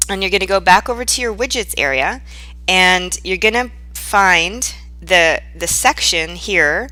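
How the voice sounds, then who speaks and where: A woman presenting a software tutorial in an informal, conversational way, checking in with the listener as she guides them step by step. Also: medium pitch, dry recording. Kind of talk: casual screen-share software tutorial.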